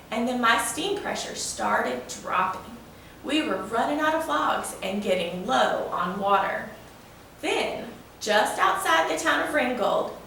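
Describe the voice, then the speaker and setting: A woman's voice: a little high, vocal fry, pushed and bright, full character voice. A woman voicing a proud old male steam locomotive spinning a folksy, boastful yarn for a children's storybook. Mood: satisfied, boastful. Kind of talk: children's storybook read-aloud. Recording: roomy, echoing, distant mic with faint noise.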